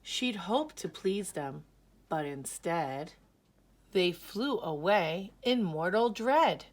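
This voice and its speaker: Slow, lower-pitched woman reading a storybook. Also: lively intonation. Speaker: picture book reader. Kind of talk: scripted children's story read-aloud.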